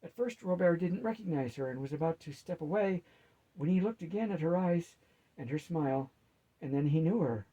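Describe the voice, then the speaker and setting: A man's voice: on the high side, expressive, normal speed, highs cut off. A man reading a fantasy story aloud as a narrator.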